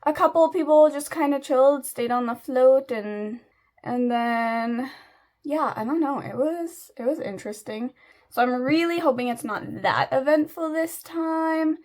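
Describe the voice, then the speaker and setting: A young woman with a slightly raspy voice, chatting casually and rambling with lots of fillers while she does her makeup. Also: sits high, measured pace, a little mellow. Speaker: beauty vlogger.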